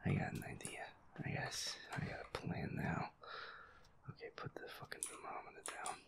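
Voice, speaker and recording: A man muttering to himself in a breathy, whispery voice as he plays a game, repeating himself and swearing. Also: moderate pace. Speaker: gamer playing a horror game. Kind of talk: hushed solo gameplay chatter, thinking aloud.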